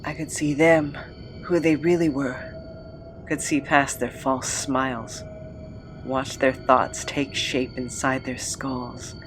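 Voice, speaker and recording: An actor playing a weary, haunted former captive in an audio drama, recounting a cruel past in a halting, measured way. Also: medium pitch, little pitch movement, over music, lightly acted. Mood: confessional.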